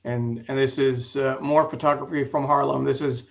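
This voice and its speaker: A man hosting a casual live interview show, speaking in a conversational, halting way. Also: mid-range voice, steady tone, gravelly, highs cut off.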